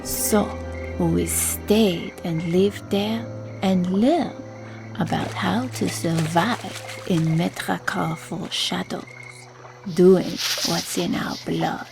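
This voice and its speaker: Slow, gravelly Cajun bayou woman played by an actress. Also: fairly low pitch, steady tone, music in the background, heavily acted. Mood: wistful, intense. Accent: Louisiana Cajun. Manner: slow, dialectal, incantatory storytelling. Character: streetwise, superstitious Cajun bayou woman, loyal and quietly menacing. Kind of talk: dramatic audio drama storytelling.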